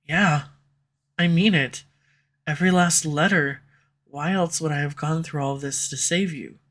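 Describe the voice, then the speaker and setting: A woman's voice: deep voice, a little slow. A woman voicing a flustered tsundere female villain in love, in an audio roleplay for a listener, defensive at first and then tender. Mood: confessing. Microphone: USB condenser microphone at home.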